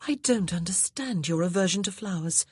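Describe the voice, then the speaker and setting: Bright scheming young lady of the house, read by a woman, sing-song and husky. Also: fairly low pitch, animated, moderate pace, no echo, studio quality, voice pushed up. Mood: bewildered. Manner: sweetly insistent, playful. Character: lively young noblewoman wife, bright and coaxing.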